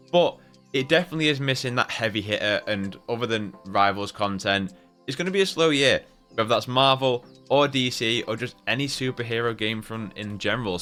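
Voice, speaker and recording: A man's voice: mid-range voice, pushed and bright. A man giving casual, chatty and opinionated video game commentary, speaking with emphasis. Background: background music.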